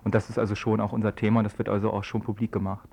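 A young man, a student activist, answering interview questions in a halting, wordy, colloquial way. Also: lowish voice, level tone, vocal fry, darkish tone, slightly rough. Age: young adult. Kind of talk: informal spoken interview answers. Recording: dull lo-fi radio recording.

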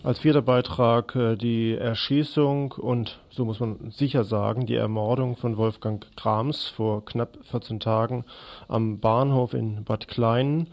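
Creaky-voiced male radio news presenter. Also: medium pitch, fairly even intonation, measured pace, darkish tone. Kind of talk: scripted news and commentary.

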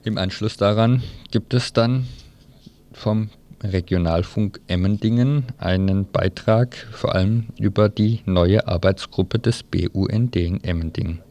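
Low voiced guy with lots of creak, reading a radio schedule as host. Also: measured pace, mellow, soft background music. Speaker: radio host announcing the programme. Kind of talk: scripted programme announcement.

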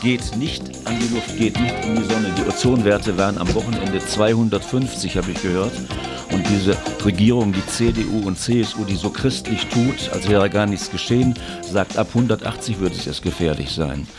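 A man's voice: lowish voice, moderate pace, over music. A man presenting a morning radio show in a casual live talking style.

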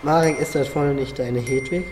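A man's voice: mid-range voice, normal speed, background noise, close to an everyday voice. A man playing a boy's bossy, blunt companion in an amateur radio play, pressing and urgent. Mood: watchful. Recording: loud music under the voice.

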